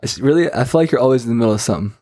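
A man's voice: medium pitch, expressive, very fast, dark tone. A man hosting a casual phone interview with a teasing, bantering way of talking. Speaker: host of a call-in segment.

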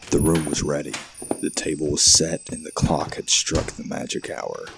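Horror narrator: man, extremely low gravelly voice, measured and ominous, noticeable background noise. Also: monotone. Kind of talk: scripted horror story narration.

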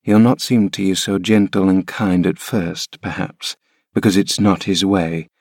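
A man's voice: deep voice, steady tone, unhurried, darkish tone, no room sound, studio quality. A man reading aloud as a kindly, practical housekeeper who coaxes and reassures a child. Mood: reassuring. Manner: coaxing, reassuring.

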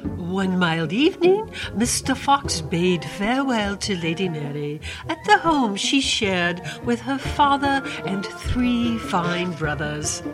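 A woman playing a knowing grandmother who tells a dark fairy tale in a radio drama, with ornate, deliberate phrasing. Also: mid-range voice, big pitch swings. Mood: storytelling. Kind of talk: theatrical radio storytelling. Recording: music behind the voice.

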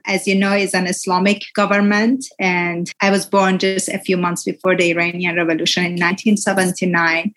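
A woman in her forties with a Persian accent, speaking English as a second language and giving an earnest personal testimony in an interview, pausing often with small grammar slips. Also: lowish voice, a bit bright. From Iran. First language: Farsi. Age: 40s. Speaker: interview guest.

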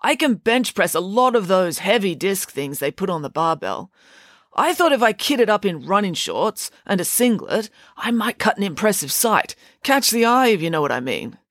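Big good-natured posh schoolboy, played by a woman, slow-witted, earnest, very animated, for a children's audiobook. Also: medium pitch, dry recording, studio quality, voice pushed up. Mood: earnest, hopeful. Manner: earnest, slow-witted, chummy upper-class phrasing.

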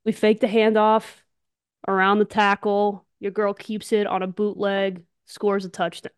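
A woman's voice: mid-range voice, flat delivery, measured pace, smooth, dry recording. Enthusiastic young woman, close dry sound. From Alabama, United States. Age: young adult. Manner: enthusiastic, emphatic, slangy. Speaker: podcast co-host, softball player. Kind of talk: casual podcast chat.

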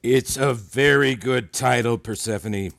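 A gracious older-style academic mentor in an audio drama, formal wording, mid pitch, very dry recording. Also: male voice, measured pace, vocal fry, a bit bright, dry recording. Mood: admiring, measured. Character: courteous, admiring male professor and research mentor. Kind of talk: fiction podcast acting.

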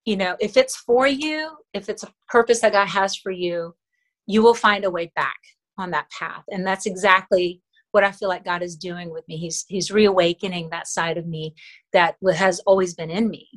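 A woman's voice: lowish voice, moderate pace. Earnest Filipino woman, middle-aged. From Philippines. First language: Tagalog. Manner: earnest, with frequent fillers and soft laughs. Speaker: actress, interview guest. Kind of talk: casual interview talk.

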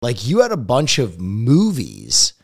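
A man co-hosting a casual podcast, relaxed, often trailing off into low mumbles. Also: takes it slowly, creaky, husky. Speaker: podcast co-host.

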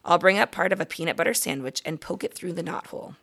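Woman reading as a friendly, slightly bossy storybook boy, creaky. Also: fairly low pitch, quick pace, fairly bright tone. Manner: frank, scolding but good-natured.

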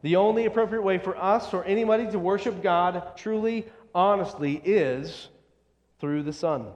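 Male preacher, high-pitched voice, emphatic sermon delivery, deliberate repeated phrases, long hall reverb. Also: darkish tone, muffled recording. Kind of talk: expository sermon.

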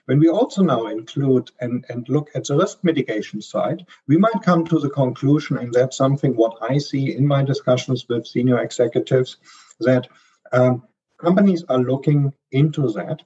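German man, hesitant consultant guest, husky and mellow, slightly dull audio. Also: medium pitch, moderate pace. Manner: hesitant, many ums and restarts. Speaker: supply chain consultant guest. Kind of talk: podcast interview answers.

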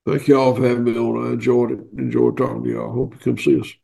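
An older man with a Southern accent and a head cold, talking in a folksy, plainspoken way on a video call, often pausing on fillers. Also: mid-range voice, little pitch movement, creaky, warm tone, slightly rough. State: head cold, stuffy nose. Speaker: guest farmer. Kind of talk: casual interview.

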